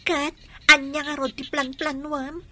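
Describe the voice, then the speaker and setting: A very high, bright voice of a skeptical young best friend in a radio drama. She is sharp-tongued and throws out questions in disbelief. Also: level tone, a little slow, exaggerated voice. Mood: incredulous. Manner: incredulous, exclaiming questions.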